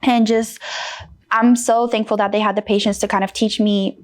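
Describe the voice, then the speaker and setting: Young woman, from North Carolina, casual podcast guest, outspoken, lively, mid-range voice. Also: mid-range voice, moderate pace. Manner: blunt, animated, frequent swearing and "like".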